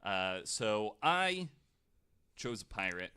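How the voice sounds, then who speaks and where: A man's voice: on the low side, very animated, slow, sharp tone, clean tone. A man hosting a podcast, telling history stories in casual, jokey chat. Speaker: podcast host.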